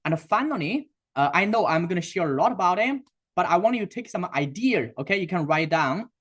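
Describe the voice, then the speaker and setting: A man with a Chinese accent presenting a sales webinar, talking in a halting way with many fillers and restarts. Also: high voice, expressive, a bit bright. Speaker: webinar host and sales coach.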